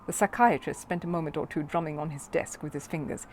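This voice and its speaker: A woman narrating a children's storybook in an expressive reading style. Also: low voice, quick pace, slight background noise.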